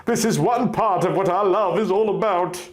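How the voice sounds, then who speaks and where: A man's voice: sits high, normal speed, pitched above the performer's own voice, lightly acted. A man voicing a stubborn, devoted young heroine in a melodramatic, emphatic story reading. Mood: earnest, pleading.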